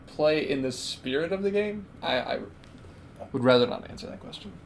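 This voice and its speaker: A man's voice: a little high, expressive, normal speed. Guy running a podcast quiz with friends, kinda hesitant explainer, some noise behind him. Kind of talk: casual group chat. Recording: clear background noise.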